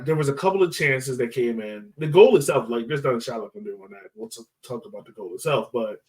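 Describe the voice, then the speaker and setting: A man's voice: mid-range voice, recorded from a distance, poor audio. A man co-hosting a sports podcast, casually breaking down a soccer match and repeating himself as he thinks aloud.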